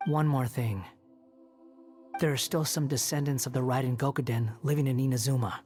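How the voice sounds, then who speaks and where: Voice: mid-range voice, fairly even intonation, moderate pace, darkish tone, faint music behind, natural voice. An actor voicing a proud, brooding young wanderer in a video game, dry, curt and aloof in delivery. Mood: grave. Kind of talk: video game cutscene acting.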